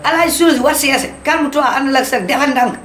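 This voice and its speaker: An actor voicing an excitable, loudmouthed schemer in a cartoon, with a Senegalese accent and an exclamatory, scolding delivery. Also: high voice, a little fast, faint music behind. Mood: alarmed. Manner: exclamatory, scolding, switching between two languages. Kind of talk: animated cartoon voice acting in comedy. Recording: roomy, echoing, with background noise.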